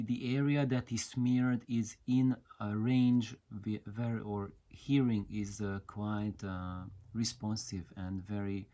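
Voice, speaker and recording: A man giving an unscripted technical explanation as a presenter, with a hesitant, halting delivery full of drawn-out fillers. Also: fairly low pitch, darkish tone. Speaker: audio hobbyist presenter.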